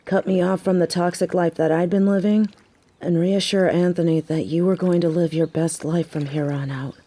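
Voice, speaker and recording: Low, mellow woman acting a wry biker girlfriend. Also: fairly even intonation, moderate pace, natural voice. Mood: reassuring. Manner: teasing, wry, casual slangy phrasing. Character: tough, sardonic but caring young biker girlfriend. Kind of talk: scripted roleplay audio drama, intimate one-sided conversation. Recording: faint background noise.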